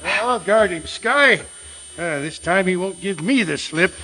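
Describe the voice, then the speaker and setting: Eager adventure ally played by a man, high-pitched, bright and forceful, vintage radio delivery, slightly noisy stage recording. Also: lively intonation, a little slow, exaggerated voice. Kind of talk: 1940s radio acting.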